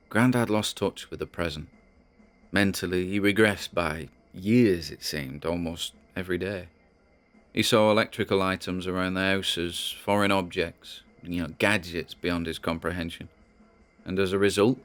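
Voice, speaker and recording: A British man playing a troubled grandson who records a halting, confiding audio diary in an audio drama. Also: sits low, lightly acted.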